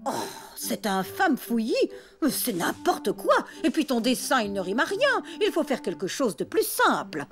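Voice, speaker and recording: A woman's voice: sits high, sing-song, measured pace. A woman voicing a prim, bossy, condescending elephant babysitter in a French cartoon. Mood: fussy, condescending. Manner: condescending, fussy, scolding.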